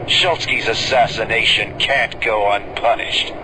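An actor playing a male space security officer who gives brisk, matter-of-fact orders in a video game. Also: fairly even intonation, natural voice. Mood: steady. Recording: game music and engine rumble behind.

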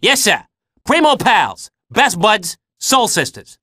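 Bright, high-voiced wisecracking little sidekick. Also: male voice, big pitch swings, takes it slowly, dry recording, studio quality, full character voice. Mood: eager, chummy. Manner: chirpy, glib, jokey. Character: chatty, eager, wisecracking small undead male sidekick. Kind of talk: video game cutscene acting.

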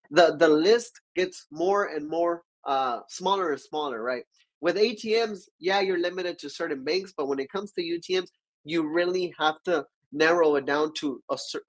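Stuffy young man hosting a live stream. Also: a little high. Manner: energetic, gestures with both hands, keeps asking viewers to comment. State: stuffy from a cold. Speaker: livestream host. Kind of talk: business livestream teaching. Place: small dark-walled home studio.

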